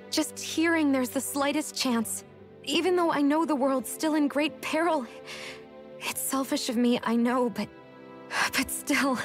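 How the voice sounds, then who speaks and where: A woman playing a guarded, vulnerable young cursed maiden in a fantasy video game cutscene. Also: high voice. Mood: tearful relief.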